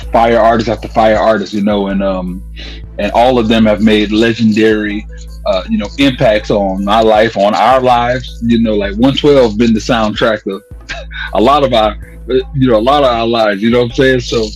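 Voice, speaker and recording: A man's voice: on the low side, fairly even intonation, normal speed, slightly rough, poor audio. Slangy man chatting over music. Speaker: radio host being interviewed. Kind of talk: casual interview chat. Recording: music playing behind the voice.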